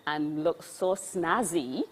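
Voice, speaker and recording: A woman's voice: deep voice, slow pace, poor audio. A woman in her fifties with a Barbadian accent, hosting an interview with playful, prompting questions. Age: 50s. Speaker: host. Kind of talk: casual interview conversation.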